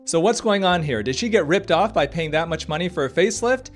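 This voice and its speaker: A man giving a scripted commentary on a social video, brisk and explanatory, posing rhetorical questions. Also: a little high, animated, faint music behind. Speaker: cosmetic surgeon commentator.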